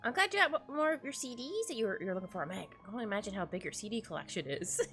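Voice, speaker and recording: A woman's voice: mid-range voice, expressive, rapid-fire, a bit bright, faint music behind. A young woman streaming a game, chatting casually and giggling as she greets viewers. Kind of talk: casual livestream chat.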